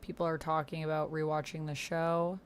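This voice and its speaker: Woman host, lowish voice, casual chat and musing to herself, keyboard clatter and noise behind. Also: level tone, normal speed. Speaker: host of an online text roleplay playthrough. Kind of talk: casual chat mixed with reading typed chat aloud. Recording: keyboard typing, clear background noise. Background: computer keyboard typing.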